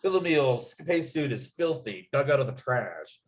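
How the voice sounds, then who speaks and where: A male narrator with a medium-pitched voice reads out screenplay action lines. He delivers scene headings and descriptions as part of a script table read. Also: big pitch swings, normal speed. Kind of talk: reading screenplay stage directions at a table read.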